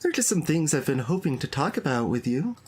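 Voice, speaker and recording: A man voicing an earnest, clingy teenage schoolgirl from a visual novel, gentle and confiding in a soft, lifted voice. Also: a little high, sing-song, fast talker, slightly rough. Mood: apologetic, confiding.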